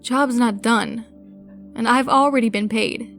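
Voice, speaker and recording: Woman acting a brash, higher-voiced monster hunter. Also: measured pace, music in the background. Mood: stubborn, wry. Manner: sarcastic, blunt, casual. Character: brash, wry female monster hunter, tough and impatient. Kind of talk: fantasy audio drama acting.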